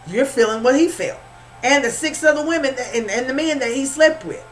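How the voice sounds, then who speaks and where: High-voiced Southern woman reading tarot. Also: lively intonation, brisk, soft background music. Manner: informal, repeats words, calls listener honey. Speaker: spiritual reader.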